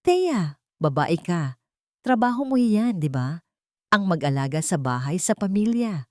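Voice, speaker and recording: A woman's voice: lowish voice, very animated, a little slow, dry recording, studio quality. A woman voicing a dismissive, irritated husband and proud provider, defensive and scolding, in an audio love story. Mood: annoyed, lecturing.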